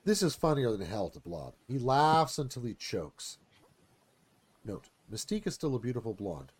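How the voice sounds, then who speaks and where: A man's voice: medium pitch, big pitch swings, moderate pace, husky. A man narrating scene directions in a live screenplay reading.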